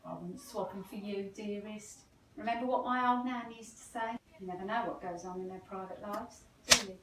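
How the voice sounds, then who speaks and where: A woman with an English accent playing a homely, affectionate, gently nagging wife in an amateur play, chatty and fussing. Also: medium pitch, moderate pace, close to an everyday voice. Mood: fond. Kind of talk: amateur stage play acting, recorded at home. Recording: roomy, distant mic, faint noise.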